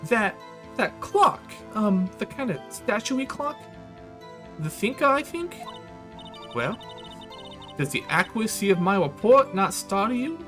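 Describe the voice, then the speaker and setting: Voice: medium pitch, sing-song, over music, pitched above the performer's own voice. A streamer voicing a coy, sugary young woman on the witness stand in a video game, cutesy and wheedling. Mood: insistent. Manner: cutesy, wheedling, drawn-out protests.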